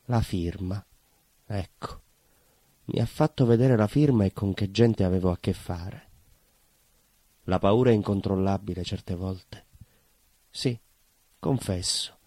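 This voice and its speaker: An actor playing an anguished, naive baker with a southern Italian accent, confessing his shame in an intense, halting theatrical monologue full of obsessive repetition. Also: low-pitched, warm tone, breathy edge, no room sound. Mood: ashamed, fearful. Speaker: stage actor.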